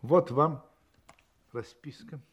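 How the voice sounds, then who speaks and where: A man's voice: on the high side, husky. A man acting a shrewd, composed private detective in a Russian audio drama, questioning in a probing way with wry interjections. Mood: businesslike, courteous. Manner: probing, unhurried, ironic interjections.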